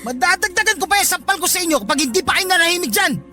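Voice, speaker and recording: A woman's voice: very high voice, animated, rapid-fire, sharp tone, exaggerated voice. A woman with a Filipino accent playing a lazy, insolent adult child who bullies an elderly mother in a radio drama. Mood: angry, threatening. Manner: argumentative, rude, demanding.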